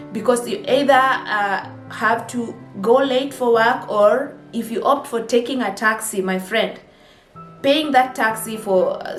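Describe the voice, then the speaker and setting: A young adult woman from Kenya with a Kenyan accent, chatting casually to camera as a vlogger, full of exclamations and asides. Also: medium pitch. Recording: echoey room with faint music.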